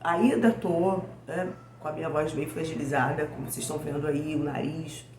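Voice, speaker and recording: A Brazilian woman hosting a book vlog. She chats casually with her viewers in a weak, hoarse voice from a cold. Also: sits low, steady tone, normal speed, a little mellow. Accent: Brazilian Portuguese. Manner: chatty, addresses viewers as "gente". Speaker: book channel host. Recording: echoey room with faint noise.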